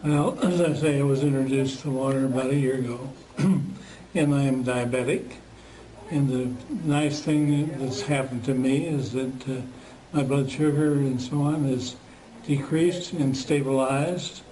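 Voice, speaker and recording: An elderly man in his eighties giving an unscripted testimonial, halting and full of fillers and pauses. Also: mid-range voice, fairly even intonation, warm tone, low-quality recording. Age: 80s.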